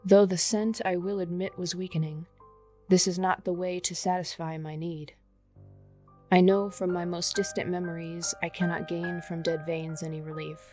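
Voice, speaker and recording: A hungry, patient vampire voiced by a woman speaks in a slightly low register. The poem is delivered with solemn, measured melancholy while music plays behind it. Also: little pitch movement, a little mellow, lightly acted. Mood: wistful hunger. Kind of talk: dramatic poetry reading over music.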